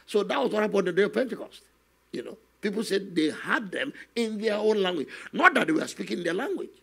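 An older man, a guest minister telling his testimony slowly and earnestly in a studio interview, often adding "you know". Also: high voice, sing-song. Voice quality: gravelly, husky. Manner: slow, earnest storytelling with many "you know" asides. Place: television studio, seated on a set. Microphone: stand microphone in front of him.

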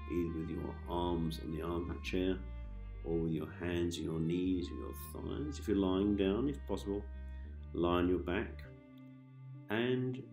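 A man with a low, gravelly voice leads a guided relaxation for sleep. He is calm and gentle, repeating soft instructions with long pauses. The sound is roomy and echoing, with faint music underneath. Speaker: hypnosis host, meditation guide. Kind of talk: guided sleep hypnosis, soothing meditation.

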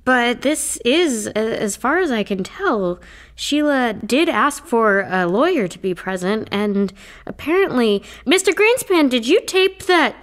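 An actress playing a flustered, polite reverend trying to keep order at a funeral in an audio play. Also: female voice, a little high, sing-song, measured pace. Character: nervous female reverend leading a chaotic funeral. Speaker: Reverend Sandy, officiant at the funeral.

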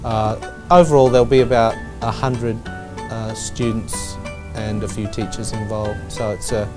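A man's voice: on the low side, a little slow. A man who teaches drama at a school, explaining the production plainly with some fillers. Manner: matter-of-fact, steady with fillers. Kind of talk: interview explanation. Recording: music playing behind.

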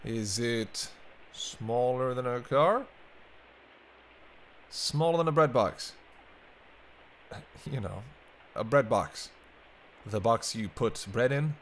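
A man's voice: mid-range voice, slow pace, pauses often, slight background noise. A man playing a teasing, century-old vampire in an intimate roleplay audio drama, bantering wryly with hesitant asides. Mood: playful banter. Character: teasing century-old male vampire, sardonic but soft-hearted.

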